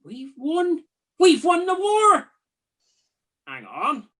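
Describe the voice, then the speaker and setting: A woman's voice: extremely high pitch, huge pitch swings, extremely slow, a bit bright, heavily acted. A woman playing a chatty, gullible Trojan soldier in a comic radio play, musing in a wry, conversational way. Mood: excited, amazed. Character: bored, gullible, chatty Trojan night-watch soldier in a spoof.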